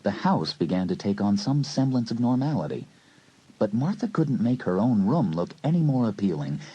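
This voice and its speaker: A man's voice: on the low side, very animated, moderate pace, dark tone, breathy edge, lo-fi sound. A man narrating a scripted suspense story as an audiobook narrator.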